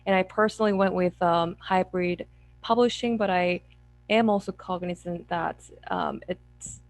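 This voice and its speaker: A woman, a memoir author on a panel. She speaks in an earnest, organized way, in a lowish voice. The recording is dry and close. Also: steady tone, measured pace, dry recording. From Korea. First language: Korean. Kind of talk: online panel discussion.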